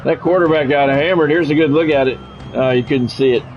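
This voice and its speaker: A man's voice: fairly high pitch, a little fast. Male American sports commentator, clipped play-by-play with offhand asides, lo-fi audio over music. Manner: clipped announcer phrasing with casual asides. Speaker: play-by-play streamer. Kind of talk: live sports play-by-play commentary of a football video game.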